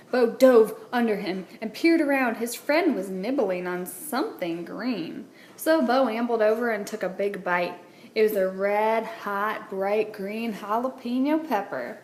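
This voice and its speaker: Lady teacher reading a kids picture book out loud, echoey room, some background noise. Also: medium pitch. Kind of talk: children's storybook read-aloud. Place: indoors at a wooden table, book on camera. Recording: roomy echo, clear background noise.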